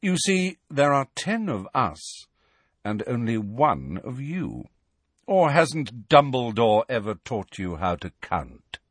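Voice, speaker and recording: Slow sneering posh villain, guy doing the voice, low-ish and husky, dead dry. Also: sing-song, no echo, exaggerated voice. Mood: cold contempt. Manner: drawling and sneering. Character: cold, arrogant aristocratic male villain.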